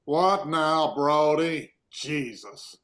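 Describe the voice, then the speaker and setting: A man playing a gruff, weary sheriff with a grumbling, folksy manner in a comedic table read. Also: fairly high pitch, drawn-out words, a bit bright. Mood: exasperated. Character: gruff, weary small-town male sheriff.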